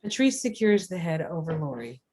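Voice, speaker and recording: Female narrator for a script read, slightly low register, delivering screen directions. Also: very animated, normal speed, a little mellow. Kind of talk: reading screenplay stage directions.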